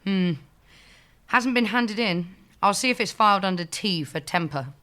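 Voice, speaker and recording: Swooping, sardonic slacker receptionist. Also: female voice, mid-range voice, lively intonation, normal speed, bright, forceful tone. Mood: breezily unhelpful. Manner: flippant, dry. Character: lazy, sardonic, shameless female receptionist. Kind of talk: audio sitcom acting.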